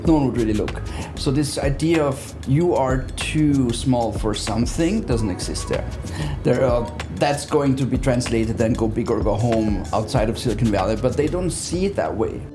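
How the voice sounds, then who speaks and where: A man's voice: mid-range voice, lively intonation, moderate pace, few pauses, over music. A man, talking conversationally as a guest in an interview. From Austria. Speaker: interview guest, business therapist.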